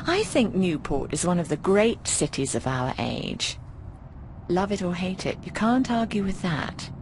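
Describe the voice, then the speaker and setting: A woman's voice: fairly low pitch, big pitch swings, a little slow, noisy background. An actress playing a worldly, teasing middle-aged landlady in a video game, breezy and wry, with a theatrical drawl. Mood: warm, musing. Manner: theatrical, drawling, calls people darling. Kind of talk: video game dialogue acting.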